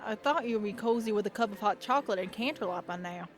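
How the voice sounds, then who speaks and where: A woman's voice: medium pitch, lively intonation, fast talker, music in the background, lightly acted. A woman voicing a plain-spoken, caring young farm pony, earnest and folksy, in a dramatic reading. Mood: curious. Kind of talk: dramatic reading of fan fiction.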